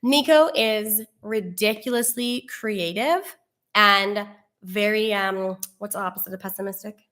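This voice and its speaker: A Canadian woman chatting casually as a travel vlogger on a live stream, telling stories in an animated, giggly way and acting out exchanges. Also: mid-range voice, unhurried. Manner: animated storytelling, acts out quoted exchanges; giggly, laughs often. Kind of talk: casual chat, answering viewer questions.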